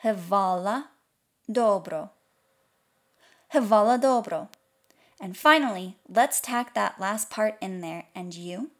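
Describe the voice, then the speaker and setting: A woman presenting a scripted podcast language lesson, repeating foreign phrases slowly and clearly. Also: mid-range voice, animated. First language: English.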